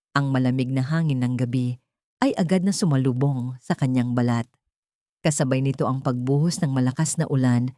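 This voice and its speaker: A woman's voice: very deep voice, warm tone, dry recording, studio quality. A woman reading a scripted dramatic story as its narrator.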